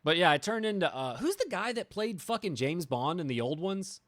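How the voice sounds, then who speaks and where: A woman streamer reading a visual novel aloud with expressive, dramatic storytelling. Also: fairly low pitch, normal speed, dry recording, clean studio sound. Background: game music.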